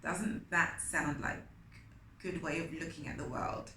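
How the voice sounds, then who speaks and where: A woman's voice: deep voice, unhurried, pushed and bright. A woman reading a picture book aloud to children, expressively, with asides and questions to her young listeners. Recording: roomy echo, faint background noise.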